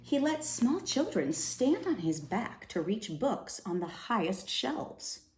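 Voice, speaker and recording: A woman reading a children's storybook aloud with expressive, dramatic delivery. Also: mid-range voice, slow. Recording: roomy, echoing room sound.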